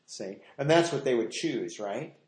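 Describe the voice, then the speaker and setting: A man's voice: medium pitch, lively intonation, unhurried, a little mellow. A man with an American accent teaching the Bible as a pastor, explaining conversationally and repeating phrases for emphasis. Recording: roomy, echoing live teaching space.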